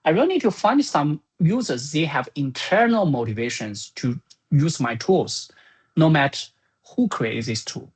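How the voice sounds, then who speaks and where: A software creator giving an informal spoken summary of business plans in a halting, think-aloud manner. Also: mid-range voice, expressive, breathy edge.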